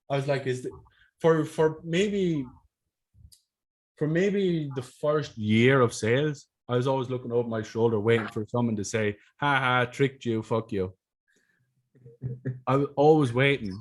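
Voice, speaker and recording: Picture an Irish man in his thirties on a video call, speaking into a desk mic at home. He tells his story bluntly and with lots of swearing, in a voice of average pitch for a man. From Ireland. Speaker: podcast host. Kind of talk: casual storytelling chat. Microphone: desk microphone on a video call.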